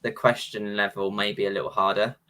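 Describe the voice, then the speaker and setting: A man's voice: lowish voice, flat delivery, fairly quick, sharp tone. A man co-hosting a casual prediction show, thinking aloud in a hesitant way. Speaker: show co-host. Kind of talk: casual chat about match predictions.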